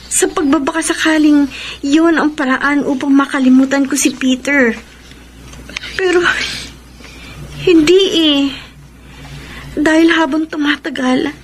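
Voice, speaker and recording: An actress with a Filipino accent playing an anguished lovelorn young woman in a radio drama, speaking slowly and sorrowfully. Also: high voice, a little hiss or hum. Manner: slow, sorrowful, emotive delivery. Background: background music.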